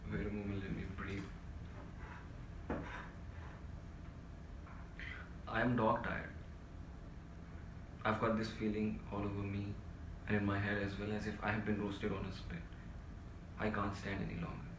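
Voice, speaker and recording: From across an echoey room comes a desperate, worn-out civil servant played by a male actor in a theatrical drama. His low voice is full of self-pity and melodrama, pleading and ranting over a clearly noisy recording. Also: monotone, normal speed, pauses often, natural voice. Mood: weary pleading. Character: desperate, exhausted, self-pitying family man and civil servant. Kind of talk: theatrical stage-play dialogue. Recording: echoey room, distant mic, loud noise.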